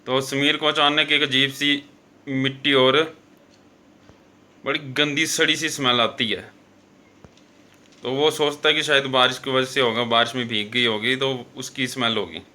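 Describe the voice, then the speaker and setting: A man's voice: medium pitch, fairly even intonation, measured pace, pauses often, sharp tone. A man narrating a horror story as a show host, in an informal, conversational way with frequent fillers and self-corrections.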